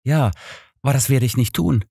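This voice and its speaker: A man's voice: mid-range voice, normal speed, gravelly, warm tone, slightly rough, dry recording, studio quality, voice pushed up. A man voicing a detective's loyal, easily indignant companion, earnest and protesting, in an audiobook. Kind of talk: audiobook character dialogue.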